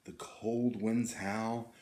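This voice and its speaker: A man reading a picture book aloud to children in an expressive, drawn-out way, inviting them to join in. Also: on the low side, slight background noise. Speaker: teacher reading a story to children. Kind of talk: animated picture-book storytelling. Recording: roomy, echoing indoor sound.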